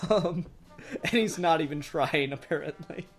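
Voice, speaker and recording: A man's voice: a little high, a little slow. Casual male podcast host over music. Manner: giggly, animated quote re-enacting. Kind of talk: casual review chat.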